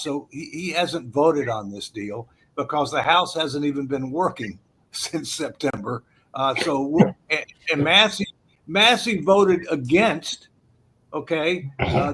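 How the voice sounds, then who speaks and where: A man's voice: a little high, fairly bright tone, slightly rough, poor audio. A man hosting an economics forum, explaining policy effects with frequent hesitations and "okay" checks.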